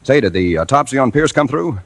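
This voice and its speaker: An actor playing a dogged, hardboiled newspaper reporter in a 1940s radio detective drama, with a husky voice and wry, clipped delivery. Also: male voice, mid-range voice, animated, brisk, no echo. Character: dogged hardboiled male newspaper reporter narrating his case. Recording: old radio recording with faint music.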